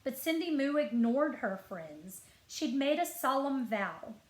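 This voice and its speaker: Female children's librarian for storytime read-aloud: higher-pitched voice, unhurried, expressive delivery, roomy sound. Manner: expressive storytelling, clear deliberate phrasing, asks listeners questions. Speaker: school librarian. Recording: roomy echo.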